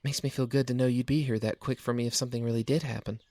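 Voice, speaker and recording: A man voicing a gentle, hesitant young woman who muses and trails off softly, as a storybook reader. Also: medium pitch, fairly even intonation, quick pace, dry recording, pitched above the performer's own voice, natural voice. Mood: apologetic. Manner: soft, hesitant, musing.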